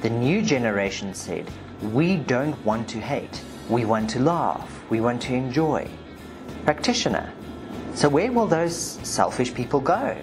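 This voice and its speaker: A South African woman with a very deep voice narrates a spiritual update, reading transcripts aloud in an emphatic way. Music plays clearly behind her. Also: very animated, a little slow. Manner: emphatic, forceful when reading the client. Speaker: video host, spiritual practitioner. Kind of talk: narration read from session transcripts.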